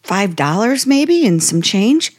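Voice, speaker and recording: An actress playing a timid, polite young aspiring writer, halting and nervous, in 1950s radio suspense style. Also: female voice, mid-range voice, very animated. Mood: nervous, pleading.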